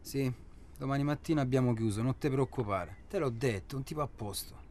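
An actor playing an impatient, rough guide of a sinister safari in a radio drama, speaking in a curt, pressing, colloquial way. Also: male voice, medium pitch, brisk, darkish tone, slight background noise, close to an everyday voice. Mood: reassuring. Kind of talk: naturalistic Italian radio drama acting.

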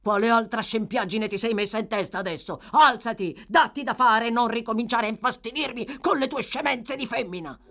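A woman's voice: deep voice, lively intonation, moderate pace, breathy edge. Audiobook role: female reader as brutal male crook, irascible, growling, menacing, barking impatiently. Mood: angry, scornful. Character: gruff, irascible, brutal adult male thief. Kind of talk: audiobook character voice.

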